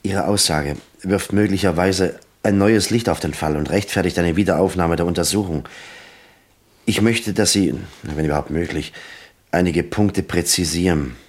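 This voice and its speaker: World-weary police lieutenant, deep voice, earnest, insistent, deliberate delivery, crime radio play. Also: male voice. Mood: businesslike, probing. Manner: deliberate, probing, emphatic.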